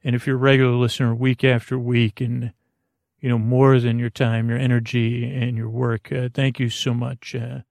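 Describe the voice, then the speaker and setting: A man hosting a sleep podcast with a creaky voice, rambling gently and haltingly through soothing, slightly silly tangents. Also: mid-range voice, flat delivery, dry recording. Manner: meandering, halting, frequent tangents. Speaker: podcast host. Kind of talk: rambling bedtime monologue to lull listeners to sleep.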